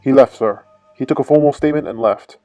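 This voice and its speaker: A man's voice: medium pitch, fast talker, dark tone, soft background music, close to an everyday voice. A man playing a dutiful but sceptical junior police officer in an amateur audio drama.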